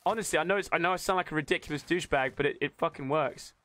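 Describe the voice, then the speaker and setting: A man's voice: fairly high pitch, racing, pushed and bright. A man with a British accent chatting casually on a live game stream, with crude, sweary, joking banter. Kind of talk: casual stream chat while gaming.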